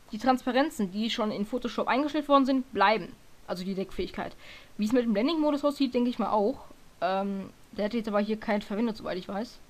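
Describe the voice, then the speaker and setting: A hoarse woman talking casually through a screen-recorded software tutorial, often stopping to correct herself and filling pauses with hesitations. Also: on the high side. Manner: rambling, self-correcting, lots of fillers.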